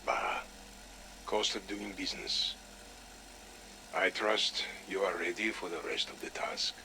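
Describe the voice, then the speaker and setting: An actor playing a commanding, cold Soviet colonel, giving deliberate orders in a game audio log. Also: male voice, low voice, steady tone, pushed and bright, a little hiss or hum, exaggerated voice. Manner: deliberate, authoritative, clipped orders.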